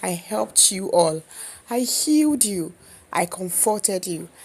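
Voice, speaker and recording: A woman with a Nigerian accent voicing a gentle, wronged village healer in a folktale, hushed and sorrowful. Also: mid-range voice, animated, slow pace, husky, heavily acted. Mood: hurt, sorrowful.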